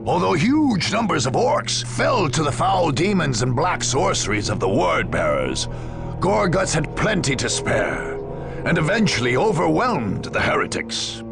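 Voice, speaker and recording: A solemn chronicler of war for a video game. His delivery is slow and theatrical, rising and falling widely over prominent music. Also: on the high side, very animated, gravelly, exaggerated voice. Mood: grand, grim. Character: grave male war chronicler. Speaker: narrator. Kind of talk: dramatic scripted game narration.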